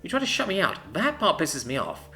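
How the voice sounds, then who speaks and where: A man reading a story, voicing a bold, sharp-tongued young woman who teases and scolds her boyfriend. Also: a little high, brisk, pitched above the performer's own voice, full character voice. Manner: lively, sharp, teasing.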